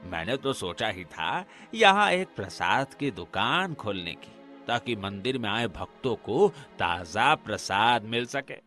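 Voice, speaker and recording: Man acting a pompous, scheming cartoon priest, bright and forceful. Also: fairly low pitch, big pitch swings, takes it slowly, soft background music, exaggerated voice. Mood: falsely friendly. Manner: pompous, condescending, tags questions onto sentences. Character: greedy, scheming village priest and moneylender, male. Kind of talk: acted Hindi cartoon dialogue.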